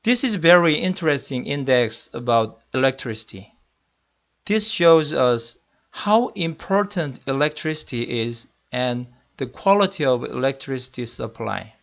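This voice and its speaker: A man with a Korean accent delivers a technical slide lecture at a medium pitch. He speaks carefully and deliberately, as a non-native speaker would. The recording sounds muffled and lo-fi. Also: expressive, gravelly, a bit bright. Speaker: senior electrical engineering manager. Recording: muffled lo-fi voice-over.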